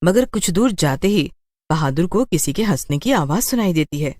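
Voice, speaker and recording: A woman's voice: on the low side, animated, moderate pace, darkish tone, no echo, clean studio sound. A woman narrating a scripted horror story for a cartoon.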